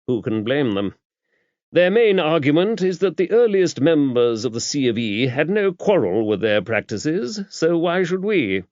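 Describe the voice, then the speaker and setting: A man's voice: fairly high pitch, sing-song, measured pace, a little mellow, dry recording, voice pushed up. A man voicing an earnest, talkative English bishop in an audiobook, formal and emphatic. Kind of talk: audiobook character dialogue. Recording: dull, muffled lo-fi audio.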